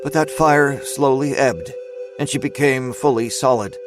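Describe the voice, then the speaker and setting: A man narrating a scripted dramatic story as its storyteller, in a measured, suspenseful manner. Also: medium pitch, music in the background, close to an everyday voice.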